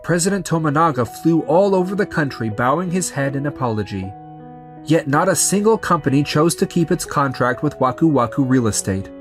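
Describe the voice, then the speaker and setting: A man narrating a manga story in a scripted, storytelling style. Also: a little high.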